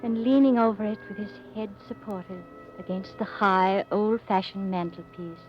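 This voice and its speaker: Actress: devoted, earnest young gentlewoman, 1940s radio play. Formal, mid-pitched; dull archival sound with music. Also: fairly even intonation, normal speed, close to an everyday voice. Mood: composed, reflective. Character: earnest, devoted young English gentlewoman narrating her life. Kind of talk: 1940s radio drama narration and acting. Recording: muffled vintage radio recording, music behind.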